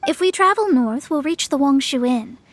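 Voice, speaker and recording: Haughty young lady astrologer, high voice, self-important, lecturing, video game character. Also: expressive, normal speed, dry recording. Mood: lofty.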